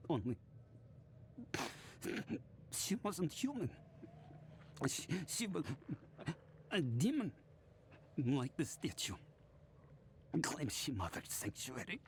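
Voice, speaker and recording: An actor playing a dying, horrified soldier in a game cutscene, gasping in pain and speaking in halting, desperate fragments. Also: male voice, fairly high pitch, huge pitch swings, a little hiss or hum, heavily acted. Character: dying soldier, horrified survivor of a demonic massacre. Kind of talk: dramatic video game cutscene acting.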